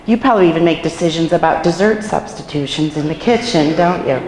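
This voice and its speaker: A hoarse woman with a cold, a nursing home administrator, giving a chatty staff training and asking the room questions. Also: low voice, a little fast, a little mellow, a little hiss or hum. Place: meeting room in a care home. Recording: echoey room with long reverb.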